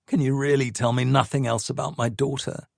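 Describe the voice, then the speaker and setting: A man's voice: mid-range voice, flat delivery, a little fast, creaky, dry recording. A man voicing an anguished father who pleads earnestly, in a dry audiobook reading. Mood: pleading.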